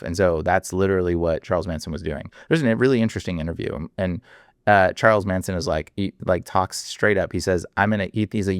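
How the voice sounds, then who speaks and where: A man with a very low voice speaks as a guest in a casual interview. He talks in an animated, emphatic way, piling up fillers. The recording is dry and close. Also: creaky, no room sound.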